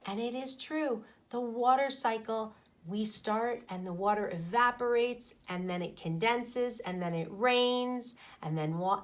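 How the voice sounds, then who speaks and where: A woman teacher reading a storybook and explaining to children in an expressive, emphatic way. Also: mid-range voice. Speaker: teacher reading aloud to pupils.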